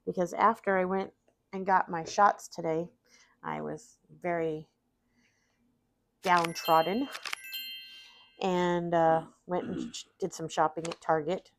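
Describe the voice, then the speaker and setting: A woman's voice: on the low side, steady tone, slow, gravelly, pauses often, a bit bright, no echo. A middle-aged woman, sniffly and tired after vaccines, chatting casually and rambling about her day while playing solitaire. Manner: rambling, self-deprecating, talks to chat. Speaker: streamer.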